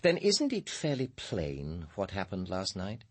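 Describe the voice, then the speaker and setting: Radio drama role: superior, self-assured man, breathy husky voice with animated intonation, measured condescension. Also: low-pitched, heavily acted. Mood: assured, impatient.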